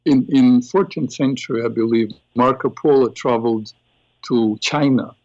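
A man hosting an interview, speaking softly and hesitantly as he cuts in. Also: medium pitch, moderate pace, no room sound. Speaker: host. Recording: dull, dry audio.

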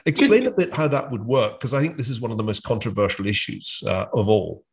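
A British man hosting a discussion, speaking in a measured way with frequent hesitations and restarts. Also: lowish voice, animated, gravelly. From United Kingdom. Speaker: podcast host. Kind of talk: conversational discussion.

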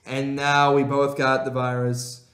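Excitable young man in an echoey room. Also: mid-range voice, a little slow. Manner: rambling, excitable, laughs often. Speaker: podcast co-host. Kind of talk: casual chat. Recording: roomy echo.

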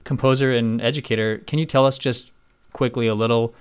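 A man's voice: medium pitch, fairly even intonation, a little fast, clean tone. A man hosting a podcast, welcoming a guest in a friendly way and asking short questions. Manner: friendly, brief affirmations. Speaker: podcast host.

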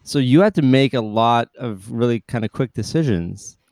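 A man hosts a casual podcast interview, talking in a relaxed, rambling way and often restarting his sentences. His voice is smooth and clear, and the recording sounds close and dry. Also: mid-range voice, normal speed, no room sound. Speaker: podcast host.

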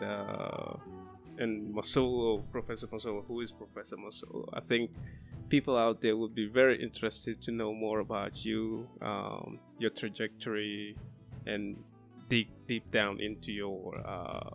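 A man hosting an interview podcast, speaking in a measured, polite way. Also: lowish voice, flat delivery, gravelly, soft background music. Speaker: podcast host.

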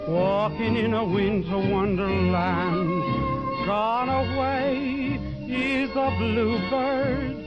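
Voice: medium pitch, extremely slow, highs cut off. A singer performing an old-time Christmas song on a vintage recording. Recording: band-limited vintage recording, loud music. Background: loud musical accompaniment.

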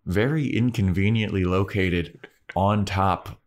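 Young male co-host, deep voice, easygoing and rambling. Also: slow. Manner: relaxed, rambling, acts out lines from the show. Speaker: podcast co-host. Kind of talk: casual chat.

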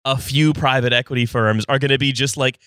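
A man co-hosting a casual podcast, explaining a topic in halting sentences full of restarts. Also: medium pitch, steady tone, fairly bright tone, clean tone, no echo, clean studio sound. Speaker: podcast co-host explaining the news.

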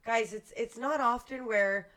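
A woman's voice: fairly high pitch, measured pace, a bit bright. A woman co-hosting a podcast, welcoming the guest in casual conversation. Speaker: podcast co-host. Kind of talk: casual chat.

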